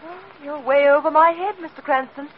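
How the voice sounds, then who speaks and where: A woman's voice: high-pitched, fairly quick, lightly acted. An actress playing a sleuth's wry, inquisitive lady companion in a 1940s radio drama. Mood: wry, curious. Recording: muffled, faintly noisy old radio.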